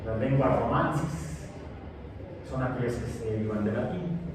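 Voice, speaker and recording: A man's voice: medium pitch, measured pace. A man giving a digressive science lecture in a classroom, full of fillers and restarts. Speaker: science teacher. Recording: echoey room, distant mic, noisy.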